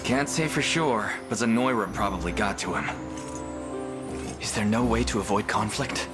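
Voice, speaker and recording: An actor playing a loyal, composed knightly adviser in a video game, a man with formal, measured speech. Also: fairly low pitch, lightly acted. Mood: calm, concerned. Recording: music behind the voice.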